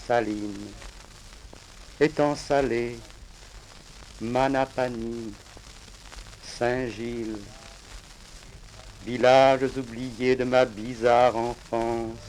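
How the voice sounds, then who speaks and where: A man from Reunion Island reciting his own poems in a solemn, measured, declamatory way with deliberate pauses, on a crackly vinyl record. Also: medium pitch, steady tone, darkish tone. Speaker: poet reading own work.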